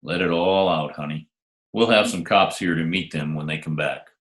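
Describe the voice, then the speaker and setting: A man playing a smooth, wisecracking hardboiled private detective in an old-time radio drama. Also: low-pitched, moderate pace, fairly bright tone. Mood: soothing, steady. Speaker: lead actor and narrator. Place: home, remote video call recording. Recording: roomy, echoey remote home recording.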